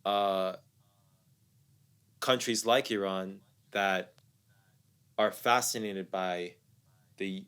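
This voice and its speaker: A man's voice: sits low, fairly even intonation, extremely slow, long pauses, a bit bright, smooth. A young man from the United States, a guest musician talking casually and reflectively in an interview, often repeating words as he thinks.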